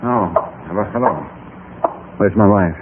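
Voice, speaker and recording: An actor playing a gruff, bemused husband with hesitant, surprised replies in an old-time radio drama. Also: male voice, lowish voice, unhurried, natural voice. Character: gruff, mildly bemused married man of the house. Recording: scratchy, narrow old radio recording.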